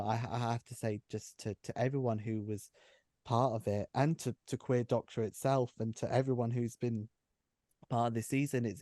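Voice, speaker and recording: A man's voice: mid-range voice, mellow, no echo, clean studio sound. A man who writes and runs an audio drama, chatting casually and effusively, often repeating his phrases. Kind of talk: casual behind-the-scenes chat.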